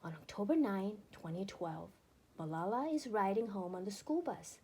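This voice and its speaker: A woman narrating a children's book aloud in an expressive, deliberate storytelling manner with emphatic phrasing. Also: mid-range voice, slight background noise.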